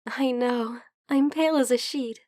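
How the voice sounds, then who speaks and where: Woman as gentle sick vampire friend for ASMR roleplay: high, soft voice, slow delivery, teasing yet hesitant. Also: no echo, studio quality, natural voice. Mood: grateful, weary.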